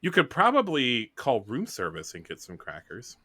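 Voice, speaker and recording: Highly animated game director, bright voice. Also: moderate pace, no room sound. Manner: animated, joking, theatrical. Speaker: game director in a tabletop roleplaying game. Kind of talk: improvised tabletop roleplay with casual chat.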